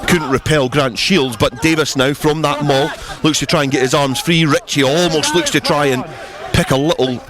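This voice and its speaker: A man's voice: a little high, steady tone, moderate pace, hardly any pauses, over music. A man giving flowing, descriptive live radio commentary on a rugby match. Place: outdoors at a rugby ground. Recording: outdoor match with crowd noise. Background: crowd at the match.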